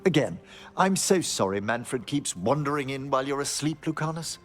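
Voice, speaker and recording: An actor playing a courteous, scholarly gentleman necromancer in a fantasy game, with formal, genteel phrasing. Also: mid-range voice, animated, normal speed, faint music behind, lightly acted. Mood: polite, wry.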